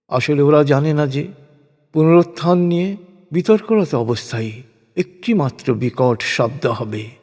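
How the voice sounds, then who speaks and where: A man narrating translated scripture in a solemn, measured, deliberate way, reading from a script. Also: fairly high pitch, a little mellow. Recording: long reverberant hall echo.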